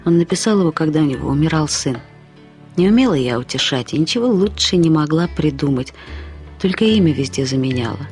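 A woman reading a literary short story as narrator, with expressive, reflective delivery. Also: deep voice, brisk, soft background music.

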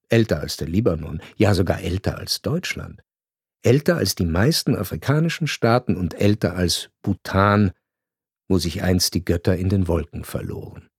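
Low-voiced male radio drama narrator. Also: slightly rough, no echo, studio quality. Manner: measured, ironic storytelling with deliberate pauses.

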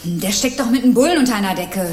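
An actress playing a tough, streetwise young squatter in a radio crime drama, curt, dismissive and hostile in slangy speech. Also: female voice, fairly high pitch, lively intonation, fast, close to an everyday voice. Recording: roomy echo, music underneath.

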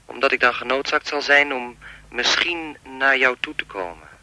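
A man's voice: medium pitch, steady tone, normal speed, sharp tone, dry recording, rough recording. An actor playing a chatty, lewd and menacing anonymous male caller in a radio play, insinuating and mock-polite as he rambles. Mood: taunting, controlled.

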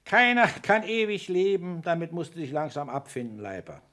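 An actor playing a frank, resigned family doctor, blunt and emphatic, in a 1960s radio play. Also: male voice, fairly high pitch, sing-song, moderate pace, a bit bright. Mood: frank, warning. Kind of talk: naturalistic 1960s German radio play acting.

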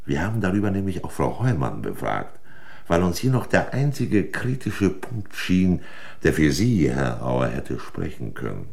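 An actor playing a shrewd, sardonic examining magistrate in a crime radio play, questioning in a pointed, condescending way. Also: male voice, a bass voice, sing-song, gravelly, a little mellow, full character voice. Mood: sardonic, final. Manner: pointed, condescending, deliberate interrogation.

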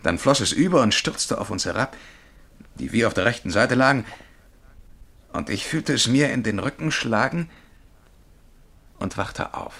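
An actor playing a haunted young man who recounts a dream of being a galley slave, telling it intensely and haltingly in a German radio play. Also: medium pitch, lively intonation, creaky, fairly bright tone, full character voice. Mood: agitated, vivid. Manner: intense, vivid, halting at times. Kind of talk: German radio play acting, dramatic storytelling.

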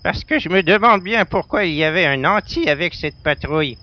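A man's voice: sits high, lively intonation, fairly quick, muffled recording, a little hiss or hum. An actor playing a cautious male fugitive in an amateur sci-fi audio drama, with a doubtful, questioning delivery. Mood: worried.

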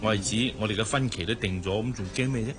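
A male actor playing an affluent, patient fiancé in a Cantonese radio drama, reasoning gently and confidently in a Hong Kong accent. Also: on the low side, quick pace, natural voice. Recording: music behind the voice.

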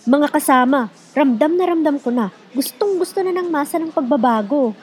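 A woman's voice: high voice, expressive, normal speed, darkish tone, faint music behind. A woman hosting a scripted radio show, speaking to listeners directly in an exhortative, conversational way. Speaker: radio program host.

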